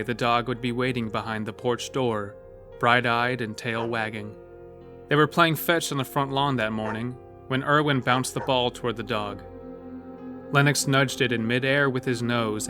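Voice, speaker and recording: A man narrating a scripted horror story as the storyteller of an audio drama. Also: mid-range voice. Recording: music bed under the voice. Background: loud music.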